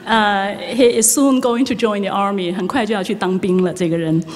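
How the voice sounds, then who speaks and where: A woman's voice: mid-range voice, fairly even intonation, moderate pace. A woman moderating a forum, introducing the speaker in an informal, hesitant way. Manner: informal, hesitant, laughing at herself. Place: large lecture hall. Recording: long hall reverb with audience noise.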